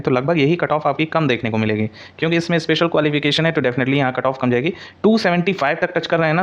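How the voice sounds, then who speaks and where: Man, quick pace, coaching presenter, explanatory, English words mixed in, dull lo-fi sound. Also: medium pitch. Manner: mixes English words into Hindi, repeats points for emphasis. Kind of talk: informal explanatory exam update. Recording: dull, lo-fi audio.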